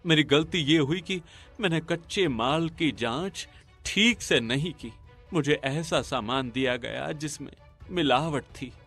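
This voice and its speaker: ईमानदार, विनम्र ढाबे वाला, धीमी बोली पुरुष कलाकार. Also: male voice, a little high, unhurried, fairly bright tone, husky, faint music behind, full character voice. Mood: apologetic. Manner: earnest, speech-making. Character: honest, humble young village food-stall owner, male. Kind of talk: Hindi cartoon story acting.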